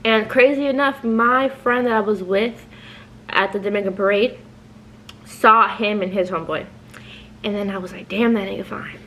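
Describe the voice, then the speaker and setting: A woman's voice: mid-range voice, steady tone, normal speed, a bit bright, slight background noise, low-quality recording. Chatty young woman hosting a vlog. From New York, raised in New Jersey. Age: 20s. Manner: chatty, playful, swears freely. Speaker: channel host. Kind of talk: casual couples Q&A chat.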